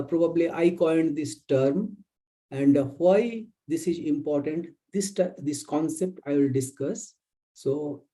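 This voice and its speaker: A male academic with an Indian English accent delivers a webinar lecture. His voice sits a little high for a man yet has a dark, relaxed tone. He phrases things deliberately and haltingly, as a visiting professor walking through slides. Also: rough recording.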